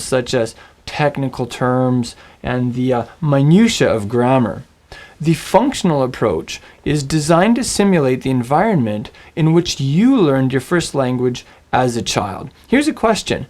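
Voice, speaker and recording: A man teaching a Bible language lesson, speaking in a scripted, storytelling way with slow, deliberate phrasing and dramatic pauses. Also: mid-range voice, big pitch swings, darkish tone. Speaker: Biblical Hebrew teacher.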